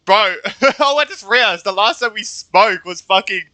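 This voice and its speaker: Male game streamer: very bright, slightly high voice, casual and excitable, reacting as he plays. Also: normal speed, dry recording. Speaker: gaming streamer. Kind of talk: casual game playthrough commentary.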